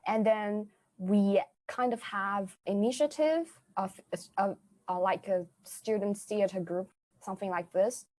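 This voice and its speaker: Young woman, Chinese accent, casual chat, halting, self-correcting, laughs easily. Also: mid-range voice, darkish tone. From China. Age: young adult. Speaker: student guest. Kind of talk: casual podcast conversation.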